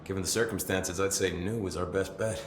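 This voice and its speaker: An actor playing a friendly, chatty male agency guard in a video game, casual and easygoing with drawn-out hesitations. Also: low voice, fairly even intonation, natural voice. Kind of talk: video game cutscene acting. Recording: roomy echo, faint background noise.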